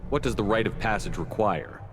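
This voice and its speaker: A man voices a businesslike human commander in a video game. His voice is low and steady, with direct, matter-of-fact delivery and a long reverb around it. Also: fairly quick, lightly acted. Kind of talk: video game character acting. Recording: long reverb, faint ambient noise.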